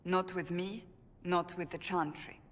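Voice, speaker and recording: A woman's voice: lowish voice, fairly even intonation, measured pace, close to an everyday voice. A woman playing a stern, earnest warrior of a holy order in a fantasy game, speaking in a formal, intense way. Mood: grave. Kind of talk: dramatic fantasy game acting. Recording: reverberant hall with faint ambient noise.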